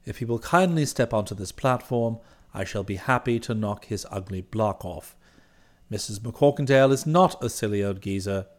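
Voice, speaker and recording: A man voicing an unflappable, deferential English gentleman's valet in an audiobook, with upper-class British English and formal, measured, discreet phrasing. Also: on the low side, lively intonation, voice pushed down, close to an everyday voice. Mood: calm, deferential. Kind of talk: audiobook character voice.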